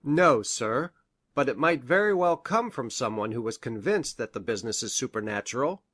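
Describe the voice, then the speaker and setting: A woman's voice: very deep voice, expressive, normal speed, a bit bright, no room sound, pitched below the performer's own voice, full character voice. A woman voicing a courteous, earnest male doctor in an audiobook, polite in manner. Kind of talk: audiobook character reading.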